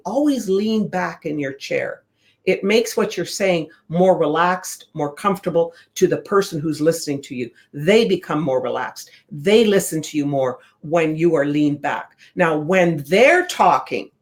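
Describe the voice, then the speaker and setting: Relaxed real estate sales coach. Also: medium pitch. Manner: relaxed, coaching, repeats key points. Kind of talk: conversational training talk.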